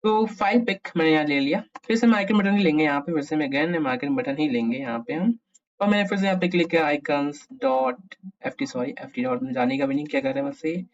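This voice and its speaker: A man's voice: a little high, fairly quick. Muffled Indian man muttering through a coding tutorial. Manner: mutters to himself, asks himself questions while working. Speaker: programming tutorial host. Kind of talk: casual screen-share coding tutorial, thinking aloud. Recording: dull, muffled lo-fi recording.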